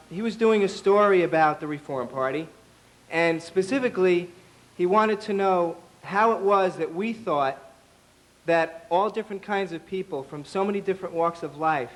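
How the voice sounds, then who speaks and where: A hoarse man, a party official, giving a political speech at an event, halting with ums and building to a rousing call. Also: high voice.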